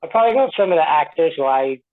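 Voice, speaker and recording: A man's voice: on the high side, animated, racing. A man from Florida, an interview guest, giving casual conversational answers over a narrow phone-like connection. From Tampa Bay, Florida, United States; born in Puerto Rico. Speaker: actor being interviewed. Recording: tinny narrow-band phone line.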